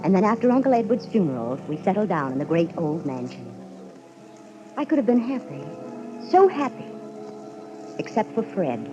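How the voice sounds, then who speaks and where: An actress playing a ruthless, scheming, domineering wife in a melodramatic old-time radio horror play. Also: female voice, lowish voice, very animated, moderate pace, long pauses, music in the background. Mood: bitter. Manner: melodramatic, commanding. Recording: muffled, noisy old radio recording.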